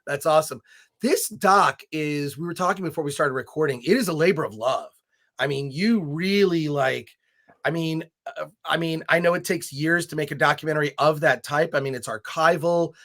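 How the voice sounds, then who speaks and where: A man's voice: fairly high pitch, moderate pace, a bit bright. A man hosting a podcast in a casual, enthusiastic chat, his voice a little scratchy. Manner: chatty, enthusiastic, many restarts. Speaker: podcast host.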